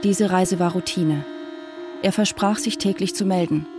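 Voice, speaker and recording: A woman's voice: on the low side, fairly even intonation, a little slow, noisy background, close to an everyday voice. An actress in a radio drama playing a tense, defiant wife of a missing man, speaking in a controlled, insistent way. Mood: sober, controlled. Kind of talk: radio drama acting with inner monologue narration. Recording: studio radio play with music underneath.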